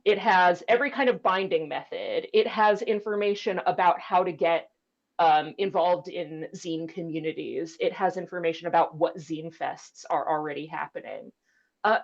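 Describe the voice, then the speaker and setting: A woman's voice: lowish voice, muffled recording. A woman librarian speaking informally on an online panel, presenting in a chatty way with conversational asides. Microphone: video call.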